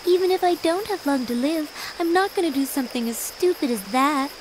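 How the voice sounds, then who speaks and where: A woman playing a gentle, selfless, gravely ill teenage girl in a video game cutscene, soft-spoken and earnest. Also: high voice, animated, fairly quick, background noise. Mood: calm, reassuring.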